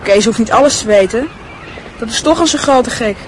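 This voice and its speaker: A woman's voice: fairly high pitch, expressive, moderate pace, slight background noise, close to an everyday voice. A woman playing a knowing, secretive schoolboy in a radio play. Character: boy, a gossiping schoolboy.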